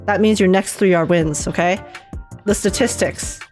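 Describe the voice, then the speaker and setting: A woman hosting a casual gaming livestream, chatting excitably, teasing herself and repeating phrases for emphasis. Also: medium pitch, normal speed, soft background music. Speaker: livestream host and gaming reactor. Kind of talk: casual livestream chat and trailer reaction.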